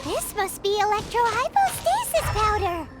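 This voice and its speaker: Tiny chirpy fairy sidekick, very high and bouncy, game score loud behind. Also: female voice, moderate pace, music in the background. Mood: excited. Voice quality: squeaky. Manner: bouncy, excitable, speaks of herself in third person. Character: tiny chirpy floating female fairy companion. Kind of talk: game voice acting.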